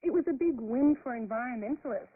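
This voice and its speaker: High-voiced female radio host, thin shortwave sound. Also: animated, quick pace, husky, dry recording, phone-quality sound. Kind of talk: scripted programme introduction.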